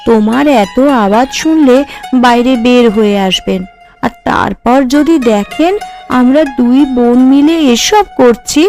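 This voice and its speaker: A woman's voice: fairly high pitch, measured pace, a little mellow, faint music behind. A woman voicing a young, polite and cautious daughter-in-law in a family cartoon. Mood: anxious, cautioning. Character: young daughter-in-law, deferential, hopeful, secretly enterprising. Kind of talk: Bengali cartoon voice acting.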